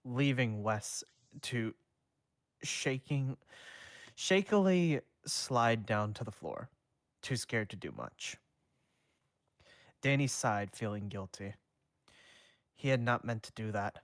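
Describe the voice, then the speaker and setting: A man streamer reading a fanfic aloud, haltingly, with frequent self-corrections. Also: medium pitch, animated, no echo, clean studio sound. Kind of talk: fanfic narration, read live.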